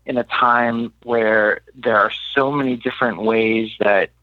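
A man from Miami speaking as an interview guest, thoughtful and halting as he searches for words in reflective talk. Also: mid-range voice, fairly even intonation, dry recording, highs cut off. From Miami, United States. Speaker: interview guest, playwright and mentor. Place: radio studio.

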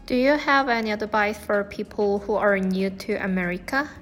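Woman asking steady interview questions. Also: mid-range voice, moderate pace, soft background music.

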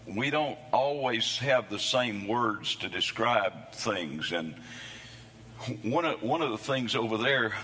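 Hoarse preacher in a reverberant sanctuary. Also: male voice, fairly low pitch, lively intonation, slow pace, sharp tone. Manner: folksy, self-deprecating humor. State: hoarse, losing his voice. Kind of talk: sermon. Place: church sanctuary.

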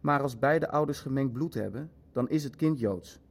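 An actor playing a pragmatic, coldly deliberating Nazi official in a naturalistic audio drama, conversational and matter-of-fact. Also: male voice, medium pitch, moderate pace, creaky. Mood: musing, matter-of-fact. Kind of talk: Dutch audio drama acting, naturalistic meeting dialogue.

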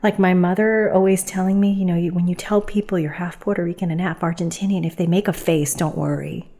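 A middle-aged woman from New York speaks as a podcast guest, her voice sitting a little low. She tells her story in an unhurried, reflective way. She circles back often, with plenty of fillers and self-corrections. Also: steady tone, dark tone. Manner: reflective, meandering, many fillers and restarts. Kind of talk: casual conversational storytelling.

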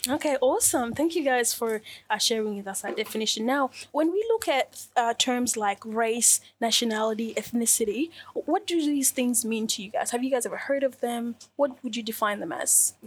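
A woman's voice: fairly high pitch, expressive, moderate pace, hardly any pauses, husky. A young woman moderating a youth panel, upbeat, thanking each speaker and asking the next question. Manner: upbeat, steering the talk with repeated "okay, awesome".

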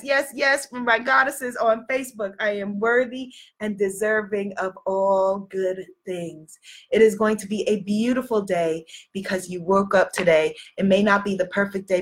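A woman's voice: medium pitch, moderate pace, a bit bright. A sniffly woman hosting a motivational livestream, encouraging and emphatic, repeating affirmations and phrases for effect. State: sniffly with a cold. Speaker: live-stream host, spiritual life coach.

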